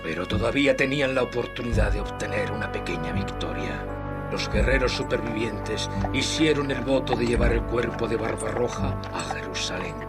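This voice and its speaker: An actor playing an old exiled nobleman who recounts history in solemn, measured Castilian Spanish, as a dramatic game narration. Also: fairly low pitch, natural voice.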